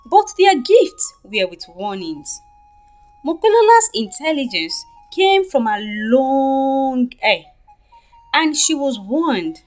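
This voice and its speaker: Slow, high-voiced Nigerian woman storyteller. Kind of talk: scripted folktale narration for children.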